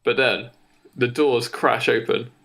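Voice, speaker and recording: A man with an average-pitched voice co-hosts a comedy podcast. He spins an improvised story casually, halting as he makes it up. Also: fairly even intonation, vocal fry, a bit bright. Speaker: podcast co-host.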